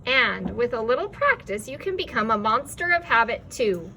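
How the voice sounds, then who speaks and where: A woman's voice: fairly high pitch, sing-song, moderate pace, a little hiss or hum, exaggerated voice. Woman doing a sly wheedling demon man. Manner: soft wheedling menace. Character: sly scheming male demon of petty tasks.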